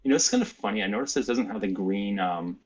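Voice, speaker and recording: A man's voice: fairly low pitch, animated, fast, muffled recording, a little hiss or hum. A man from the Canadian prairies with a Canadian accent, an indie developer chatting casually on a video call, filling his talk with "like.". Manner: chatty, lots of "like" fillers, earnest. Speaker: indie game developer, guest.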